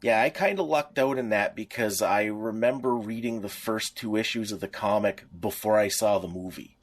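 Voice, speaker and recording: A man's voice: lowish voice, moderate pace. A middle-aged man co-hosting a podcast, reminiscing in a casual, rambling, anecdotal way. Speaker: podcast co-host.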